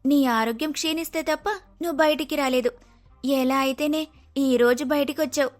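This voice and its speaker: A woman's voice: high voice, steady tone, normal speed, lightly acted. A woman voicing a bold, scheming undercover officer in a dramatic story, commanding and confident. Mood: taunting, triumphant. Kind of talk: dramatic moral-story voice acting.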